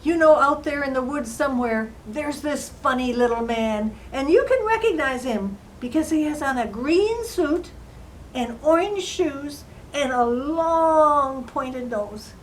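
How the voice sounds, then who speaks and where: A woman plays an earnest young queen from a fairy tale, telling her story in the first person. Her voice is fairly high and very animated, with slow, drawn-out dramatic emphasis. There is faint noise behind her. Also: rough recording. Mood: worried, determined.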